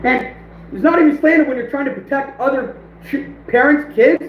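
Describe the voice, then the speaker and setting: Livestream host, man, high voice, hoarse, echoey room on lo-fi audio. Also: normal speed, a little hiss or hum. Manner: laughing, reacting to chat. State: losing his voice. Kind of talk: casual livestream chat.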